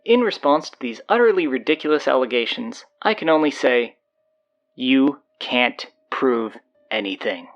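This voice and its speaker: Bossy teenage girl announcer played by a woman for audio drama: low register, swooping delivery, blunt sarcasm. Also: animated, moderate pace, dull audio, soft background music. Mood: brisk, sardonic. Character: bossy, sardonic teenage high school girl taking over announcements.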